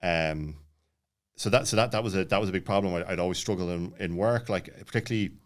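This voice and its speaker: Low voiced guy late 30s, talks really fast, rambles all over the place, super casual storytelling. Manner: rambling, jumps around, many filler words. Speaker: podcast guest.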